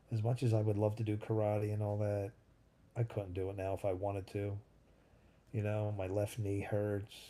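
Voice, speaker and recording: Very dark-voiced man in his fifties. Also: on the low side. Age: 50s. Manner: rambling, thinks aloud, answers chat comments. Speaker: livestream host. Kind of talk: casual live-stream chat with viewers.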